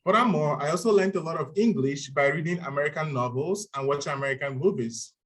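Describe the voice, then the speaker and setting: Nigerian man with a higher voice. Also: quick pace. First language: Igbo. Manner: structured, steady, uses signpost words. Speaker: panel speaker. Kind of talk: prepared talk at a club panel.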